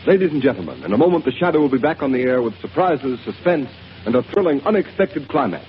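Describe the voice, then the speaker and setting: A man's voice: mid-range voice, big pitch swings, quick pace, over music. A male radio announcer reading an emphatic 1930s commercial. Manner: emphatic, salesy. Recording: dull old radio broadcast with hiss.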